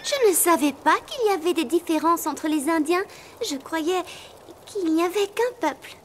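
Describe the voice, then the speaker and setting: A woman playing an eager, caring teenage girl horse rider in a French animated series. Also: very high-pitched, moderate pace, slight background noise. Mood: curious. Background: music.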